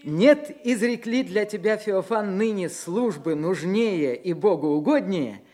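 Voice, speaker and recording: High voiced meek church scribe, radio play, devout n eager, very deferential. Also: male voice, expressive, slow, dark tone. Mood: eager, reverent. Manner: deferential, earnest, old-fashioned churchly speech. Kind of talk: Soviet radio drama acting.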